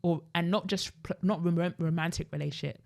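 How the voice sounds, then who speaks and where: A young woman with a London accent hosts a casual podcast chat. Her voice sits low for a woman and carries noticeable creak. She talks informally and with emphasis. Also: fairly even intonation, a little fast, a little mellow. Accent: British, London. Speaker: podcast host.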